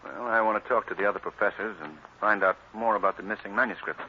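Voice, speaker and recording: An actor playing a courteous, composed gentleman sleuth in an old radio drama, speaking in a polite, measured way. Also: lowish voice, lo-fi sound, natural voice. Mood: polite, thoughtful. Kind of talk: 1940s radio acting. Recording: hissy, band-limited old radio recording.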